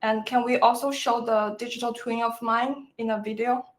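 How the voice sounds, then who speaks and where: A woman from China with a Chinese accent, presenting to educators over a video call, speaking with many fillers and pauses in a friendly tone. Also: a little high, fairly even intonation, crowd noise. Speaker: professor presenting. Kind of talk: webinar presentation.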